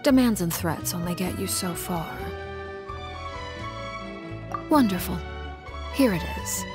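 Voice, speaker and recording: A woman playing a cold, composed, commanding female villain in a video game, speaking in a measured, formal, quietly menacing way. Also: lowish voice, expressive, close to an everyday voice. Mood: cold, calculating. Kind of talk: dramatic video game voice acting. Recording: music behind the voice.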